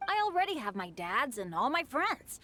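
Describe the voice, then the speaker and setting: A woman's voice: sits high, very animated, moderate pace, bright, forceful tone, no echo, full character voice. A woman voicing an upbeat, kindhearted teenage boy adventurer in a video game, earnest and friendly. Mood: bashful, warm.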